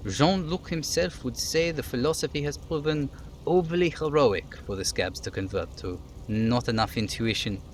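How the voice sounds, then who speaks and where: A jolly, sly union striker from a video game, played by a man. He chats in a folksy, teasing way, pitched up and loose, over audible background noise. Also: normal speed, natural voice.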